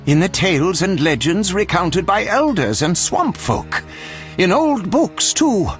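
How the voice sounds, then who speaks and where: High-pitched, pompous eccentric alchemist. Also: male voice, big pitch swings, unhurried, soft background music. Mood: pompous, eager. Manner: pompous, fussy, theatrical. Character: eccentric, self-important male alchemist scholar, excitable and obsessive. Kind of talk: video game voice acting.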